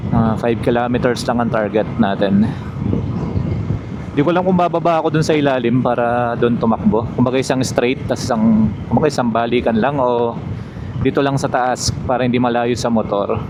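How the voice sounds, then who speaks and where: A man's voice: mid-range voice, moderate pace. A Filipino man with a Filipino accent narrates a casual vlog to his viewers, mixing English words into his talk. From Philippines. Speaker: motovlogger. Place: outdoors, riding a motorcycle. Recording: clear vehicle and outdoor background noise. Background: vehicle and outdoor ambient noise.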